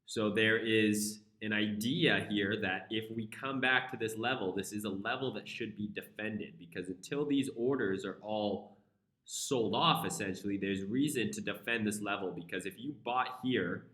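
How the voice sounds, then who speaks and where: A man's voice: fairly low pitch, moderate pace, a bit bright, reverberant. A young man with a flu-congested voice hosting a casual live stream, laid-back and profane as he talks through charts.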